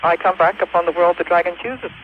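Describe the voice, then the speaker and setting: A man's voice: high voice, a little hiss or hum, lightly acted. A young male caller putting on an affected accent to play a solemn, cryptic cult grand master. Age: young adult. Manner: slow, solemn, evasive pronouncements. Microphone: phone call into radio show. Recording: muffled phone line on radio.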